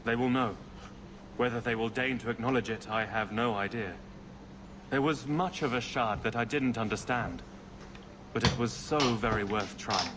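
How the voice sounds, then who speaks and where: A man's voice: mid-range voice, normal speed, natural voice. An actor playing an idealistic, defiant young nobleman in a fantasy video game, with earnest, formal phrasing. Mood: mournful, earnest. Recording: loud ambient noise behind.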